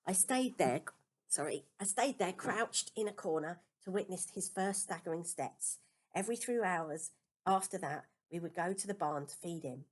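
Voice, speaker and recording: A woman's voice: on the low side, expressive, moderate pace. A woman reading a children's novel aloud as a first-person storybook narration. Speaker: storybook reader.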